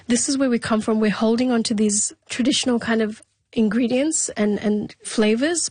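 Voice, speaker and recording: A woman's voice: mid-range voice, a little mellow, no room sound. A woman who migrated to Australia, speaking conversationally as an interview guest. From migrated to Australia from abroad.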